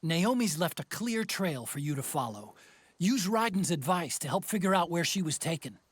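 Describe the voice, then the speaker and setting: A man's voice: fairly high pitch, sing-song, moderate pace, slightly rough, no echo. An actor playing an earnest male support advisor in a video game, giving helpful briefings. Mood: earnest, cautioning.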